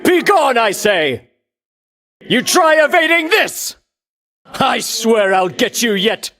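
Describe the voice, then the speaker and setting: An actor playing a pompous, vainglorious champion warrior in a video game, declaiming grandly and scornfully in archaic heroic language. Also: male voice, very high-pitched, big pitch swings, slow pace, bright, forceful tone, exaggerated voice. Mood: defiant, challenging. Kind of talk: theatrical video game battle lines.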